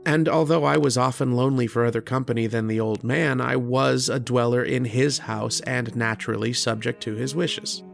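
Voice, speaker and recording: An actor playing a lonely, erudite mathematician lodger in an old-time style radio drama, literate, earnest and introspective. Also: male voice, mid-range voice, steady tone, creaky, soft background music, close to an everyday voice. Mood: wistful. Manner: literate, deliberate, introspective, with hesitant starts. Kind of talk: old-time radio drama acting with first-person narration.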